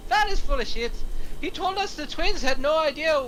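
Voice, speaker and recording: A man's voice: very high voice, lively intonation, moderate pace, a bit bright, a little hiss or hum, low-quality recording. A man playing an anxious, rule-following criminal henchman in a film table read, exasperated, defensive and sweary.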